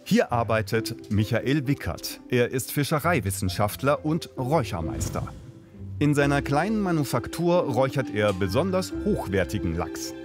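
A man's voice: medium pitch, sing-song, moderate pace, over music. A man narrating a consumer documentary in a matter-of-fact scripted voice.

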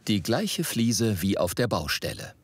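A man's voice: fairly low pitch, big pitch swings, a little slow, husky. A man reading scripted narration for a documentary report. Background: faint background music.

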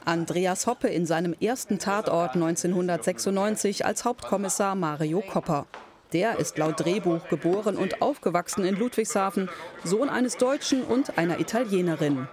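A man's voice: high voice, normal speed, crowd noise. A man reading scripted biographical narration as a television reporter.

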